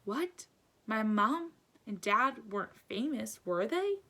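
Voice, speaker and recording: Woman reading as a young boy, timid, polite, puzzled, hesitant, bright tone, storybook character. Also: on the high side, big pitch swings, takes it slowly, exaggerated voice. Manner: hesitant, tentative. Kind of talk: children's storybook read-aloud.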